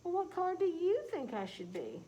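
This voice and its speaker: A woman's voice: very high-pitched, huge pitch swings, fairly quick, mellow, muffled recording, slight background noise, pitched above the performer's own voice, heavily acted. A woman voicing an eager but unsure young male turkey, polite and earnest in a storybook reading. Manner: polite and earnest, a bit timid.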